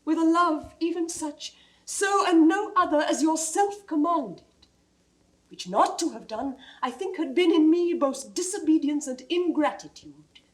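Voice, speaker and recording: A noble queen wrongly accused, in a classical stage drama. Her voice is high, with a British accent, and her formal, measured delivery carries steadfast dignity. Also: poor audio. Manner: formal, measured diction, sometimes dropping to hushed tones. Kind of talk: classical Shakespearean stage acting.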